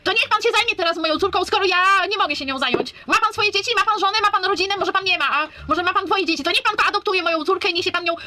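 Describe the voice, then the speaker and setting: Woman, forties, bright and quick, bitter and defiant, self-filmed at home. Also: extremely high pitch, a little hiss or hum. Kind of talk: self-filmed video monologue.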